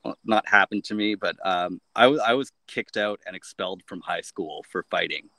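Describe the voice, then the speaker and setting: A man in a band, a guest on a podcast, answering in a relaxed, laid-back way. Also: sits low, moderate pace, no room sound. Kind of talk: casual interview chat.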